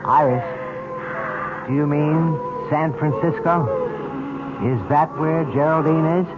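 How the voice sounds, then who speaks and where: An actor in a 1970s radio mystery playing a cautious police lieutenant with a measured, matter-of-fact manner. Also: male voice, fairly high pitch, steady tone. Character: cautious, open-minded male police lieutenant. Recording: dull old radio recording with music.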